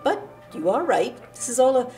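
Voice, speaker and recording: A woman's voice: high voice, very animated, normal speed, dark tone, faint music behind. A woman playing a bold, flirtatious American lady in an audio drama, poised, arch and self-assured. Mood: intrigued. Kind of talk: audio theatre acting.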